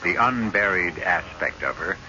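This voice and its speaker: A man's voice: sits low, expressive, moderate pace. A man hosting a radio mystery, giving dramatic scripted narration. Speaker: host narrator. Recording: dull old radio recording.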